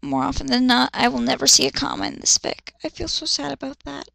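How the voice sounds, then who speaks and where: High, creaky-voiced woman, sarcastic reader. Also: steady tone, a little fast, bright, forceful tone, no room sound. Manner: exasperated, sarcastic, blunt. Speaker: reader and commentator. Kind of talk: dramatic fan fiction reading with ranting asides.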